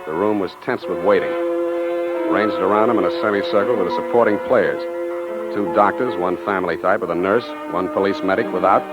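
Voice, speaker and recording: Hard-boiled American male private eye, played by an actor, wry 1940s radio narration, muffled, loud music behind. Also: low-pitched, close to an everyday voice.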